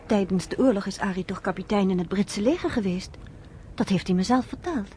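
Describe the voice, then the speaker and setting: An actress playing a concerned woman gently questioning a friend in a radio play, on a noisy old recording. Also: medium pitch, a little fast, lightly acted. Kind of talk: Dutch radio play acting.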